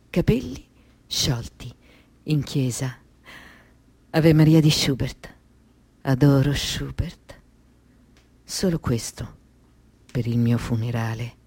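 A tormented young woman in a radio play. Her voice sits fairly low and very dry. She speaks with poetic intensity, as a daughter burdened by her fate. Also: warm tone, breathy edge, no echo, heavily acted. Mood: hushed, grieving. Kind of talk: theatrical radio monologue.

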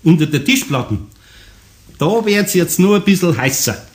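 An older Bavarian master craftsman, kindly and fond of teasing. His voice swings widely in pitch as he speaks in homely dialect, in the style of a children's radio drama. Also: animated, moderate pace. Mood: amused, teasing. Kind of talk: German children's radio play acting.

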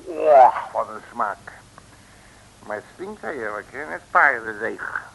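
An actor playing a cocky, slangy streetwise detective in an early 1960s comic radio serial, blustering and emphatic. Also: male voice, mid-range voice, expressive, slow, gravelly, a bit bright, heavily acted, rough recording. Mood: cocky, grumbling. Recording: old radio broadcast recording.

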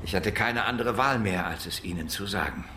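Resigned, evasive academic suspect, lowish and halting, roomy radio-drama recording. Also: male voice, bright, forceful tone. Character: evasive, resigned male professor under murder suspicion. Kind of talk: German radio crime drama acting. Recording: roomy echo with faint noise.

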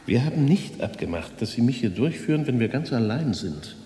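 A man's voice: mid-range voice, sing-song, brisk, warm tone, lightly acted. A man acting in a radio drama as a stubborn, guarded reporter who puts probing, insistent questions. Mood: defiant. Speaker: reporter recording an interview. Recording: echoey hall with long reverb.

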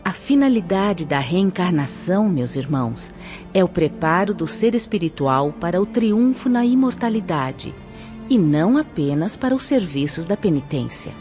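An actress playing a gentle, solemn female spirit teacher in a radio drama, with a Brazilian accent and reverent, declamatory phrasing. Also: on the low side, expressive, moderate pace, close to an everyday voice. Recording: dull lo-fi audio under music.

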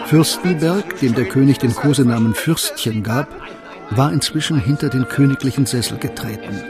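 A man's voice: mid-range voice, moderate pace. A man with a standard German accent narrating a scripted radio drama. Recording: music under the voice. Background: music.

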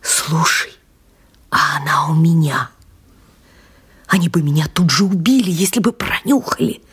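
An actress playing an adoring, frightened young woman in an audio drama, with a breathy, husky voice and an emotional manner. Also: low voice, lively intonation, measured pace, a bit bright, exaggerated voice. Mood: anxious, intimate. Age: young adult.

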